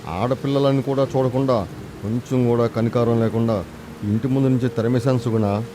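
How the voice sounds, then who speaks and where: A man's voice: medium pitch, steady tone, fairly quick, noisy background, lightly acted. A man playing a humble older uncle, ashamed and apologetic, speaking sorrowfully in a moral story. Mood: remorseful. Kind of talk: moral story character dialogue.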